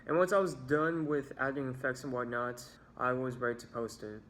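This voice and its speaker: A man's voice: medium pitch, steady tone, normal speed, a bit bright. A young man narrating a scripted storytime about his own experiences as a video creator. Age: young adult.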